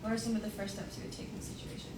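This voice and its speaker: A woman interviewing an election candidate, reading out scenario questions in a brisk, procedural way. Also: medium pitch, level tone, darkish tone. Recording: distant mic in an echoey, very noisy room.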